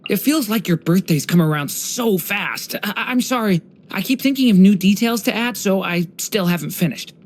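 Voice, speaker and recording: Adult actor as young dragon boy, video game character, earnest, soft-spoken, curious, courteous, hesitant. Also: mid-range voice, lively intonation, normal speed, close to an everyday voice. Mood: warm, affectionate. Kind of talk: scripted game character voice lines.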